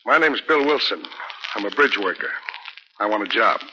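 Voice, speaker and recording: An actor playing a humble, anxious working-class bridge worker, speaking hesitantly with stammers in 1940s radio drama style. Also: male voice, lowish voice, animated, moderate pace, bright, forceful tone, muffled recording. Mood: humble, earnest. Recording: noisy old 1940s radio recording.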